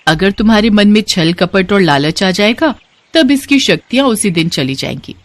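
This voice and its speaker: A woman voicing a kind, grateful fairy, speaking in a gentle, reassuring and instructive way for a children's story. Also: mid-range voice, lively intonation, moderate pace, no room sound, natural voice. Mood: kindly instructive. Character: kind, grateful young female fairy granting wishes.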